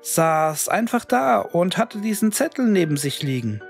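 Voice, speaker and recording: An eager young male gamer, acted by a narrator in a horror story. His voice is pitched up high and brims with excitement, over faint music. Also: a little slow, creaky, heavily acted. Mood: excited.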